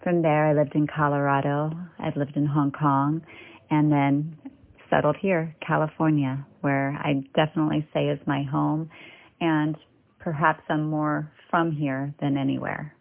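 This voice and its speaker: A woman from the US East Coast calmly describing the places she has lived. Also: sits low, a little slow, vocal fry. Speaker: interviewee. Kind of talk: personal testimony. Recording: phone-like line.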